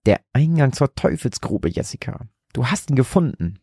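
A thrill-seeking young man on a night ghost hunt in a horror audiobook , acted out by the male narrator. He speaks in an excited, breathy and dramatic hush, recorded very dry. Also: mid-range voice, normal speed, dry recording, voice pushed up. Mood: awed. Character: thrill-seeking young boyfriend, eager, hushed ghost hunter.

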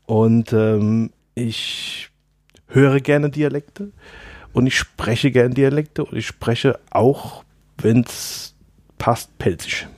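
A man's voice: mid-range voice, a little mellow, no echo, studio quality. Hesitant man co-hosting a podcast. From Palatinate, Germany. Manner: short, hesitant answers with pauses. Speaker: podcast co-host. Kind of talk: casual podcast chat.

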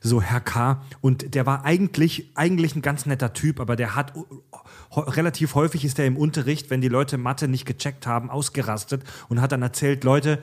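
A man co-hosting a podcast, talking bluntly and with heat, swearing freely. His voice sits at an ordinary middle pitch, and he speaks in plain everyday tones. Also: fairly even intonation, a little fast. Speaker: podcast co-host. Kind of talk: casual podcast chat.

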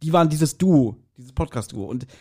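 Higher-voiced man chatting as podcast host. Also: moderate pace, husky. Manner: animated storytelling, laughs readily, acts out quoted remarks. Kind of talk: casual chat, anecdotes.

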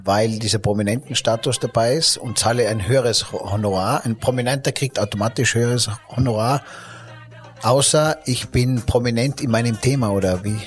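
Ein Mann mit österreichischem Akzent, der als Gastgeber im Gespräch lebhaft erzählt und oft nach Worten sucht. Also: male voice, mid-range voice, moderate pace, faint music behind. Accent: Austrian German. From Austria. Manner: lively, searching for words with fillers and restarts. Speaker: podcast host. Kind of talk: casual interview talk.

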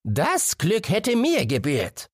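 A man's voice: high-pitched, wildly swooping pitch, slow pace, no room sound, studio quality, pitched above the performer's own voice, full character voice. A man voicing a witch's ugly, envious daughter who whines reproachfully in a fairy tale. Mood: bitter, envious.

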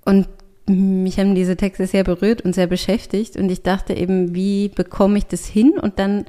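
A woman's voice: mid-range voice, level tone, normal speed, warm tone. A woman writer talking in an interview, thoughtful and searching for words, with frequent hesitations. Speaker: writer and artist, interview guest. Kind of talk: reflective conversational interview.

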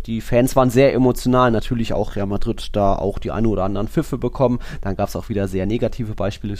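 A man's voice: lowish voice, darkish tone. A young man chatting casually as a football podcast co-host, telling stories in a rambling, colloquial way.

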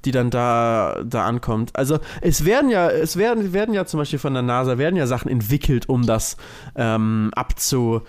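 A man's voice: mid-range voice, animated, moderate pace. A man co-hosting a podcast, explaining things in an informal, matter-of-fact way. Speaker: podcast co-host. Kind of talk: casual explanatory chat.

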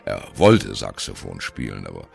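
An actor playing an ageing jazz musician who wryly and dramatically recalls his youth in a radio play monologue, with deliberate, colloquial phrasing and a gravelly voice. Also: rumbling low, sing-song, soft background music, heavily acted. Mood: wry, nostalgic. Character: ageing male jazz musician, wry, reminiscing on postwar youth.

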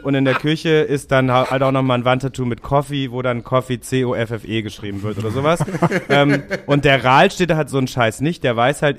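Joking man chatting. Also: mid-range voice. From Hamburg, Germany. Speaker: podcast co-host, voice actor. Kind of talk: casual chat.

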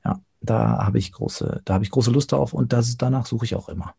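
A man speaking reflectively as an interview guest, thoughtful and often pausing to search for words. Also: medium pitch, creaky, warm tone, slightly rough. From Germany.

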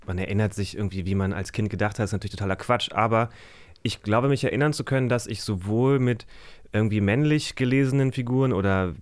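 A man's voice: lowish voice, fast. A man speaking as a guest in a casual interview, reflective and often searching for his words. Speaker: podcast guest.

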